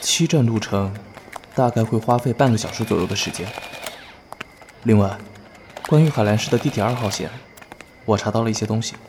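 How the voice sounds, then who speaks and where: A man playing a composed, attentive young player in a horror audio drama, calm, measured and serious. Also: fairly low pitch, noisy background, close to an everyday voice. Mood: serious, informative.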